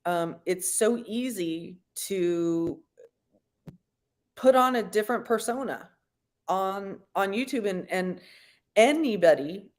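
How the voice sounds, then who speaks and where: A woman hosting a livestream, giving commentary to viewers in a halting, deliberate, explanatory way. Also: on the low side. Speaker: livestream host.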